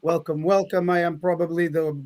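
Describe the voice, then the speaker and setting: Guy co-hosting a chat show, casual, voice on the high side. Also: fairly even intonation, moderate pace, darkish tone, a little hiss or hum. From Mozambique, grew up in Portugal. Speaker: senior co-host, dance teacher and DJ. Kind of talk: casual talk show introduction.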